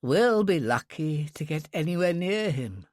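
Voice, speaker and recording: A man's voice: fairly high pitch, big pitch swings, measured pace, no room sound, studio quality, voice pushed up, exaggerated voice. A man voicing a genteel, easily flustered male professor with old-fashioned, effusive manners in an audiobook story. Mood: mournful.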